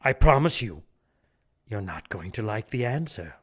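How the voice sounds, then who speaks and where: An actor playing a gloating, condescending villain bishop in an audio drama, with a pompous, taunting delivery. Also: male voice, fairly low pitch, very animated, a little slow, fairly bright tone, husky, full character voice. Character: gloating male villain bishop plotting apocalyptic sacrifice.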